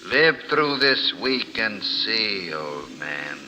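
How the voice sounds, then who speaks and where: Slow ghostly man in cavernous reverb. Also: fairly low pitch, big pitch swings, full character voice. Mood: grim accusing. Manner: slow, ominous, taunting. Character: menacing ghost of a dead train engineer. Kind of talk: old-time radio mystery acting. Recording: vintage radio, long cavernous reverb, clear noise.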